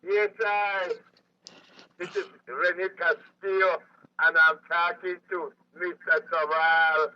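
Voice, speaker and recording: A man's voice: on the high side, little pitch movement, takes it slowly. A man chatting casually as a caller to a radio show, over a dull phone line.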